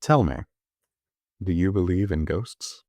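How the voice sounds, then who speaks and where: A man voicing a jovial, sly train conductor in an audio drama, smooth-talking and theatrical. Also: deep voice, slow, mellow, no echo, studio quality, pitched below the performer's own voice, full character voice. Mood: teasing, menacing. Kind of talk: audio-drama character dialogue.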